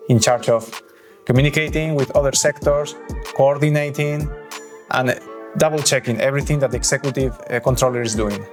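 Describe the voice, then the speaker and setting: This is a man with a Spanish accent and a mid-range voice, presenting an explainer. He narrates in an instructional, lightly scripted style. Music plays clearly behind him as he talks. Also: mid-range voice. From Spain.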